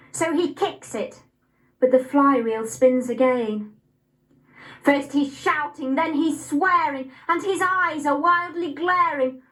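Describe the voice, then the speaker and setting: Slow, high-voiced young Englishwoman reciting poetry. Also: a bit bright, low-quality recording. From Nottingham, England. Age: young adult. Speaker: poetry reader.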